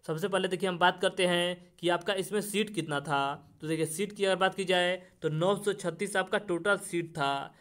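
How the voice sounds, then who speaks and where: A man's voice: sits high, a bit bright. A man explaining things informally as an exam-coaching teacher and channel host, addressing viewers directly in repetitive, formulaic phrases.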